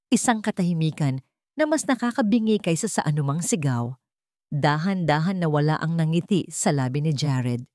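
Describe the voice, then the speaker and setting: A woman's voice: low-pitched, dark tone, dry recording, studio quality. A woman narrating a scripted dramatic story as an audiobook narrator.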